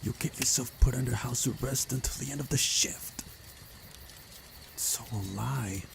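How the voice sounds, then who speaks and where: A man's voice: mid-range voice, measured pace, creaky, background noise. A man voicing an ordinary young visual novel hero who is weary, hesitant and reluctant. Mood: pleading.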